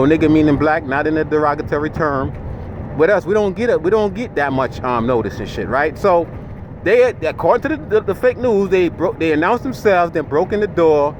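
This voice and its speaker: A man with a Jamaican accent speaks in a fairly high voice, ranting profanely to his followers from behind the wheel. His words tumble and restart as he gets heated. A faint hum of road noise from the car sits underneath him. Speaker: vlogger. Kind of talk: ranting commentary to camera. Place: inside a large vehicle, driving.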